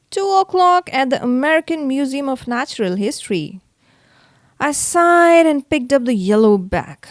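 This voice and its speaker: A woman reading a children's story aloud in an expressive, theatrical way. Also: a little high, no room sound, studio quality. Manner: theatrical, acts out the exclamations. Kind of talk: expressive read-aloud narration for kids.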